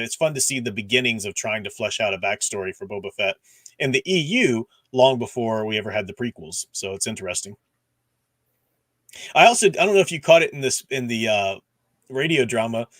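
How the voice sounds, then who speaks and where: A man's voice: mid-range voice, fairly bright tone, no echo. Chatty man, casual co-host, close dry sound. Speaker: podcast co-host. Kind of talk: casual discussion and recap.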